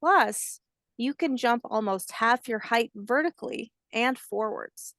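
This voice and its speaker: A woman's voice: a little high, slow, creaky, no room sound, exaggerated voice. Woman reading as kindly old grandmother alligator, close and dry. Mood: proud, instructive. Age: older. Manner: gentle, patient storybook telling.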